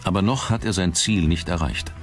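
Deep-voiced male documentary narrator. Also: brisk. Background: background music.

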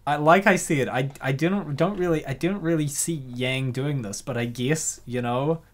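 A man's voice: medium pitch, normal speed. A man hosting with casual, chatty reaction commentary, rambling and jokey. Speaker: host of comic dub channel.

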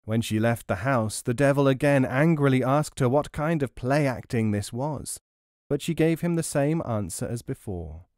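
A man narrating a scripted story as an audiobook reader, expressive, measured and articulate. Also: mid-range voice, dry recording, clean studio sound.

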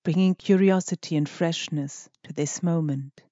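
A meditation guide with a mellow, relaxed voice leads the listener gently through each instruction. The delivery is measured, with deliberate pauses between short phrases. The recording is close and dry, slightly dull in tone. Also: medium pitch, no echo.

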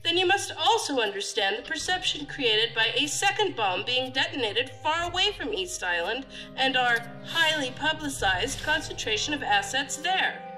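Stern government deputy director, critical, formal, lively intonation, audio drama, music clearly underneath. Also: female voice, high voice, normal speed, hardly any pauses, rough recording. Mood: stern, disapproving. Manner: formal, authoritative, disapproving.

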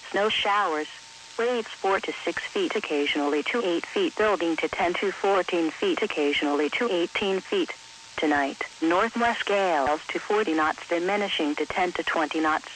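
A woman's voice: fairly low pitch, a bit bright, poor audio. A woman's synthetic, evenly phrased voice announcing an automated marine forecast on weather radio. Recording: hissy weather radio broadcast.

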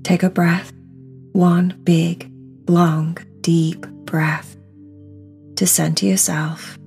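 A woman leads a guided meditation at a very unhurried pace, with a lowish voice that often frays into creak. She is soothing and encouraging, leaning on repeated words to give instructions weight. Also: little pitch movement, darkish tone. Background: music.

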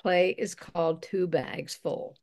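A woman's voice: on the low side, soft background music. A woman playwright introducing the play and her co-host over a video call. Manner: slow, gravelly, relaxed. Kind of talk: casual introduction. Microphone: laptop on video call.

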